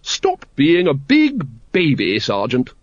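A man voicing a brisk, jolly upper-class lady in an audiobook. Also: a little high, huge pitch swings, no echo, lo-fi sound, voice pushed up, full character voice. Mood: brisk cheerful. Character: posh, hearty, cheerful upper-class lady.

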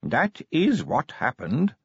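A man's voice: medium pitch, huge pitch swings, creaky, fairly bright tone, slightly rough, no room sound, muffled recording, pitched above the performer's own voice, full character voice. A man voicing an old male dwarf with a thin, uncertain voice, in an audiobook. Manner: hesitant, slow.

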